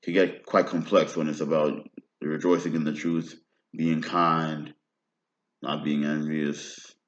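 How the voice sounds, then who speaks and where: A man's voice: very deep voice, flat delivery, takes it slowly. A man in his thirties with an American accent, talking in a meandering personal monologue and often checking in with "right?". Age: 30s. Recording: roomy, dull lo-fi recording.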